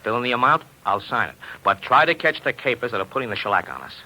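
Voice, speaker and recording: A man's voice: mid-range voice, very animated, fast, pushed and bright, full character voice. An actor playing a worried, slangy betting agent, a big man, in a 1950s radio drama. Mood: worried, frustrated. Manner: slangy, hard-boiled talk. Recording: noisy old radio recording.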